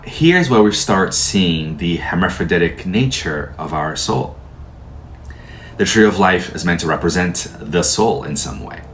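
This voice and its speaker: A man whose first language is Portuguese, calmly explaining tarot in a measured, soft-spoken way. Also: sits low, slight background noise. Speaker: tarot teacher. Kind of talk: calm explanatory lecture.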